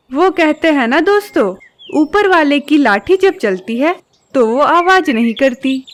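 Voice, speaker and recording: High-pitched woman narrating a children's story.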